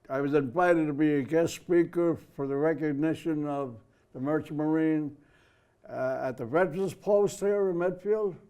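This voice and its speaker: An older man with an Irish accent tells his stories as a studio guest. His voice sits a bit high for a man, and he acts out the people he quotes with plenty of life. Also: smooth. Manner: lively storyteller who acts out quoted voices. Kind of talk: anecdotal storytelling.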